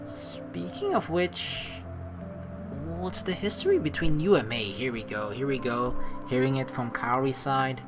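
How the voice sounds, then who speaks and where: A man hosting a let's play, giving casual, reflective commentary in a rambling, halting way and reading dialogue aloud. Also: mid-range voice, very animated. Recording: game music behind the voice.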